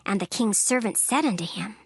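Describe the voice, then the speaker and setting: A woman narrating a dramatized scripture recording in a measured, solemn storytelling manner. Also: medium pitch, lively intonation, no echo, studio quality. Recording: narration over clear background music. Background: background music.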